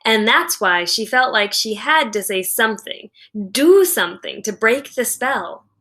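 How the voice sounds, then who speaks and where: A woman teacher giving an expressive, deliberate read-aloud of a children's novel with dramatic storytelling. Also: on the high side.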